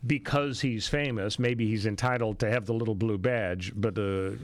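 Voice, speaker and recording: A man's voice: lowish voice, steady tone, moderate pace, dry recording. An American man hosting talk radio, giving conversational political commentary with wry rhetorical questions. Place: radio studio.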